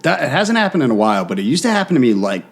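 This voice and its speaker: A man co-hosting a podcast, chatting casually in a blunt, joking way with loose, halting phrases. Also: mid-range voice, sing-song. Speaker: podcast co-host. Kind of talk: casual chat.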